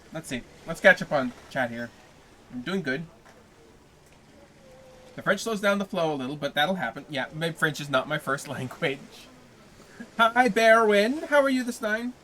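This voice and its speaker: A man hosting a casual livestream, chatting loosely with viewers between chapters into a desk mic. His voice is bright and a bit sharp, and he rambles at an easy, friendly tempo. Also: on the high side, normal speed, long pauses, a little hiss or hum, low-quality recording. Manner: relaxed, rambling, chats with viewers. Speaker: livestreamer reading a novel aloud. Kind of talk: casual stream chat with viewers.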